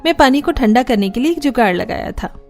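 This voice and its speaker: A woman acts out a clever young Indian housewife in a cartoon tale, respectful and reassuring. Her voice is fairly high, with light music behind. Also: animated, fairly quick. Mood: explaining. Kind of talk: Hindi cartoon story dialogue.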